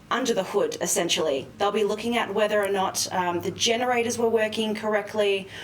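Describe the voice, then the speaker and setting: A woman with a mid-pitched voice, heard in a roomy space a little away from the mic. She explains things in a measured, careful way, as an expert guest on live news, with faint noise behind her. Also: distant mic. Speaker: expert guest, maritime lawyer.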